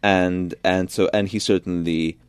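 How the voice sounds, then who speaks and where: A man's voice: low voice, level tone, measured pace, smooth, no room sound. A young man from Georgia whose first language is Georgian, answering interview questions thoughtfully and often restarting his sentences. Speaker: interviewed pianist and composer. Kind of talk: radio interview.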